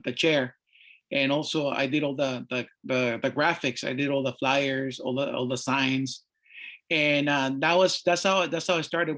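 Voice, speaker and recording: A middle-aged man from Mexico, speaking on an art panel and telling his story in a halting way, with many fillers and restarts. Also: mid-range voice, fairly bright tone. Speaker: panelist, artist. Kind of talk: panel discussion, personal story.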